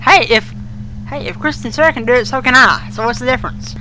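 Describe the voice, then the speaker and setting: A man co-hosting a podcast in casual chat, with a folksy, halting, colloquial way of talking. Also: pushed and bright. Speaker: podcast co-host. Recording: noisy recording with humming rumble.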